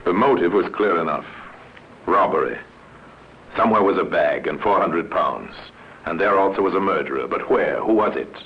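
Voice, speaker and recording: A man giving terse, deliberate, dramatic scripted narration in the style of old radio. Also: low voice, animated. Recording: muffled old radio recording with noise.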